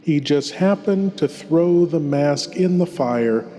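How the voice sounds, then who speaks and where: A man playing a sardonic, macabre horror host, speaking in a deliberate, ironic and theatrical way. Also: fairly high pitch, animated, dark tone. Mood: mock reassuring. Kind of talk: theatrical horror show hosting. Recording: long cavernous reverb.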